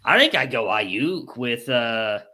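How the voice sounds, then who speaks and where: Bright-voiced man in his thirties. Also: medium pitch, lively intonation, slow. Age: 30s. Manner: blunt, swears when riled. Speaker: podcast co-host. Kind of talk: casual fantasy football chat.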